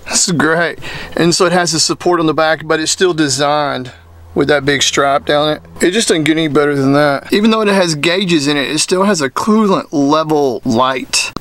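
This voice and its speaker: A man's voice: on the high side, normal speed, fairly bright tone. A man talks like a vlogger showing someone around. He is enthusiastic and folksy, commenting off the cuff with plenty of slang, recorded on a handheld camera in a small interior. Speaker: vlogger giving a vehicle walkthrough. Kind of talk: casual, off-the-cuff tour commentary. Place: mostly inside a small cluttered motorhome.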